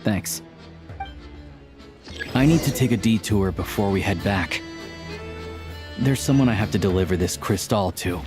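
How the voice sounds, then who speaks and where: A man playing a patient, caring character in a video game, speaking gently and earnestly. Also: lowish voice, unhurried, pauses often, lightly acted. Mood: matter-of-fact. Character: patient, caring man who looks after an old woman. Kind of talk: video game voice acting, dialogue and exposition. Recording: background music under the dialogue.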